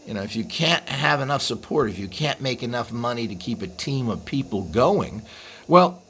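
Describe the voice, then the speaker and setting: A man hosting a video with an unscripted explanatory monologue, rambling and halting as he trails into asides. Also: mid-range voice, expressive, a bit bright. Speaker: video host. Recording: clearly audible background noise.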